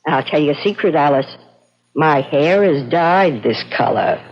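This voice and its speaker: An actress playing an excitable, confiding middle-aged schoolteacher in a 1970s radio drama. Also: female voice, sits low, a little slow. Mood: confiding, soft. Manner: eager, expressive. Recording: dull old radio broadcast.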